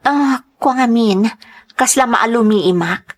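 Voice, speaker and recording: A woman playing a hesitant, evasive young live-in girlfriend in an Ilocano audio drama, speaking haltingly. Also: a little high, lightly acted.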